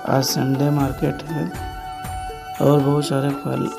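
A man's voice: mid-range voice, completely flat delivery, takes it slowly, gravelly, music in the background. A man narrating a casual village vlog, pointing things out as he goes. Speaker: village vlogger. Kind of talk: casual vlog narration, showing around a farm.

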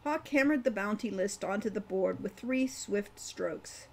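A woman's voice: medium pitch, a little slow, a little mellow. A woman in her sixties reading her own fantasy novel aloud as story narration at home. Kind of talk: audiobook-style story narration. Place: room at home, indoors.

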